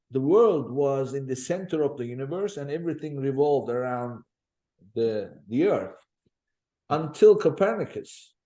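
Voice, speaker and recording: A man's voice: on the high side, warm tone. A man hosting an interview, chatty and prone to long storytelling asides with hesitant fillers. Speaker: podcast host.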